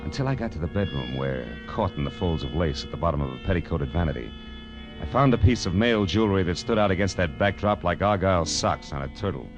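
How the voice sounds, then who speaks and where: An actor playing a wry, hardboiled private detective in an old radio crime drama, with dry wisecracking delivery. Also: male voice, low voice, lively intonation, quick pace, muffled recording, over music. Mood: wry, narrating. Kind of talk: hardboiled 1950s radio detective narration and dialogue.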